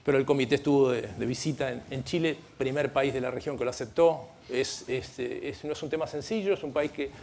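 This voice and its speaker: A man's voice: mid-range voice, fairly quick, vocal fry, few pauses, a little mellow. A middle-aged Argentine man speaks on a conference panel with a mid-range voice, explaining points earnestly and often restarting his phrases. A faint hum of room noise sits behind him. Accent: Argentine Spanish. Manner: earnest, explanatory, with frequent restarts and light asides. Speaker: panel speaker at a legal training event.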